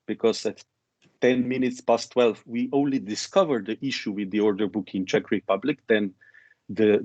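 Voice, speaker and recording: A man's voice: medium pitch, a little mellow, dry recording, lo-fi sound. A man presenting in a conference call, explaining a process step by step with frequent restarts. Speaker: meeting presenter. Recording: dry conference call audio.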